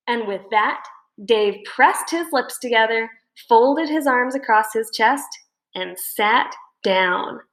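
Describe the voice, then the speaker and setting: Slow, dramatic woman storyteller in echoey room. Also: mid-range voice. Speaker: teacher reading aloud. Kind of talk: expressive children's storybook narration.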